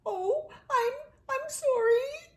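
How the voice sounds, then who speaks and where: A woman voicing a small, timid, excitable male mole in a children's storybook, plaintive and emotional. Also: very high-pitched, very slow, pitched above the performer's own voice, heavily acted. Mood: apologetic, shaken.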